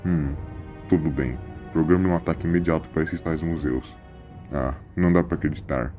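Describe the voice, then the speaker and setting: A man voicing a gruff, proud alien soldier with guttural grunts between phrases, in an audio drama. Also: very deep voice, fairly even intonation, moderate pace, phone-quality sound, faint music behind, pitched below the performer's own voice. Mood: displeased.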